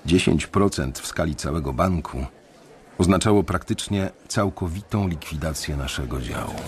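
A young man narrating a radio drama in the first person, measured and brooding. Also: a bass voice, lively intonation. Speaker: narrator and main character.

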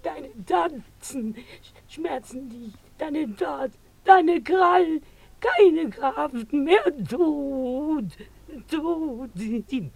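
An actress playing a grief-stricken foreign animal keeper, crying and wailing in broken, repeated fragments in a literary radio drama. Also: female voice, sits high, lively intonation, drawn-out words, gravelly, a little mellow, full character voice. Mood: tender, broken lament. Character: grief-stricken foreign animal keeper woman mourning her slain tiger. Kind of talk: mid-century German literary radio drama acting.

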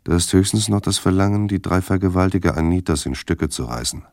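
A man's voice: low voice, fairly quick, darkish tone, no room sound, studio quality. An actor narrating a radio crime drama as a brooding male storyteller of a noir detective tale.

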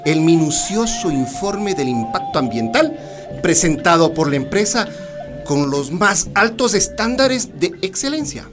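Bureaucrat villain for a radio play, a stiff, condescending official, faint music under. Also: male voice, high voice, very animated, a little slow. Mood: dismissive. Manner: formal, dismissive. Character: haughty male government official, ministry delegate.

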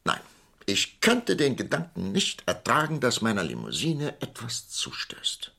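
An actor playing a rich, superstitious shipowner in a radio crime drama, imperious and theatrical. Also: male voice, mid-range voice, huge pitch swings, unhurried, gravelly, sharp tone, husky, exaggerated voice. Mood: indignant, superstitious. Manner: pompous, dramatic, swinging between outbursts and muttering.

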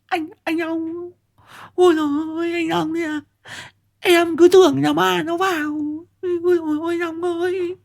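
A man voicing a scared young village wife who lisps and stammers, high and shrill. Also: flat delivery, moderate pace, vocal fry. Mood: relieved. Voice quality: lisping, stammering.

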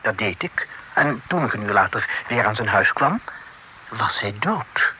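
An actor playing a talkative, worldly gentleman envoy in a period radio drama, speaking formally and floridly with French phrases sprinkled in. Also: lowish voice, very animated, measured pace, full character voice. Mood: earnest narrating. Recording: noisy old radio play recording.

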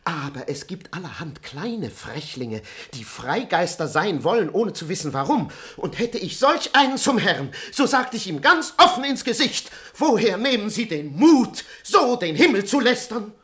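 An actor playing a timid, moralizing servant in a classical radio comedy, deferential, fussy and theatrical. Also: male voice, high voice, big pitch swings, moderate pace, hardly any pauses, fairly bright tone. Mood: indignant preaching. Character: timid, moralizing, long-suffering male servant.

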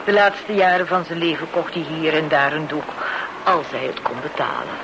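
A woman's voice: low voice, fairly even intonation, moderate pace, gravelly. An actress playing a polite, modest widowed landlady in a radio drama, obliging and slightly flustered. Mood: modest, surprised. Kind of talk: Dutch-language radio drama acting. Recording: noisy old radio play recording.

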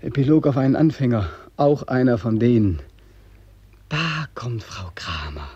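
An actor playing a bitter, haunted young drowned soldier in a mid-century radio drama, mockingly deferential, accusing and anguished. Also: male voice, medium pitch, animated, unhurried, a little mellow, husky. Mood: bitter, dreamlike. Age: young adult. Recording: dull, band-limited old radio recording.

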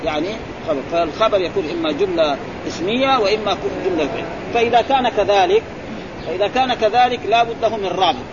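A man teaches grammar at a very fast clip, firing short questions at his class. His voice sits fairly high, and the lo-fi recording carries a murmur of people and street noise. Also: crowd noise. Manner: quizzing students with constant "ها؟" and "إيه؟" prompts.

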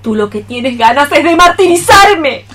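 A nagging cockroach mother in radio theatre. Her high, sharp voice coaxes and insists like a born matchmaker. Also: animated, measured pace. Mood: exasperated. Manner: coaxing, insistent.

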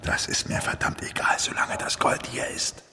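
An actor playing a streetwise, bossy hustler in a German radio crime drama, gruff, scolding and sardonic. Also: male voice, normal speed, in a whisper, lightly acted. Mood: hushed, tense.

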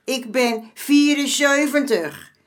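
A woman's voice: sits high, animated, slow. An actress playing a streetwise former madam in her seventies in a radio drama, folksy and dismissive in manner. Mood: scoffing. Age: 70s. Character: streetwise 74-year-old former madam, motherly but brazen.

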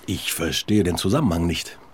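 An actor in a radio play playing a sceptical, patronising nineteenth-century doctor, ironic and incredulous. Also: male voice, sits low, expressive, normal speed, breathy edge. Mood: indulgent, incredulous.

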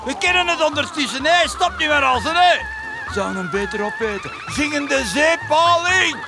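An exasperated, complaining fisherman in a children's radio play. The voice sits extremely high for a man and bursts out in exclamations, with music clearly behind it. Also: lively intonation, moderate pace. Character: grumbling, fed-up fisherman. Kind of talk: fairy-tale audio drama acting.

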